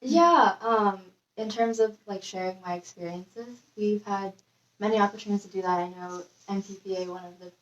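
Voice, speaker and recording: A young woman answering interview questions in a relaxed, filler-heavy way. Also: mid-range voice, moderate pace, slight background noise. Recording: dull, echoey, distant.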